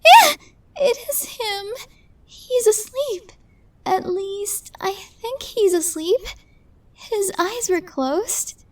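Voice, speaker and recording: A woman's voice: very high voice, expressive, drawn-out words, dry recording, heavily acted. A woman playing a tiny, nervous, lovestruck young woman in an ASMR roleplay, stammering and flustered. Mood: shocked, then anxious whispering. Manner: stammering, flustered, breathy asides.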